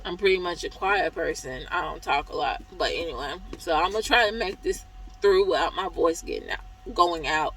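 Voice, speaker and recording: A woman vlogging as a truck driver, hoarse from talking, venting in a chatty, exasperated way about her job. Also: fairly low pitch, normal speed, a bit bright, rough recording. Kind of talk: casual vlog review.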